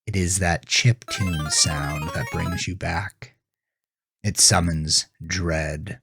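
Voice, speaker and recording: Deep, slow male horror narrator. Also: steady tone, music in the background. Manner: grave, deliberate, suspenseful. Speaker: author and narrator of horror podcast. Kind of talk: dramatic second-person horror story narration.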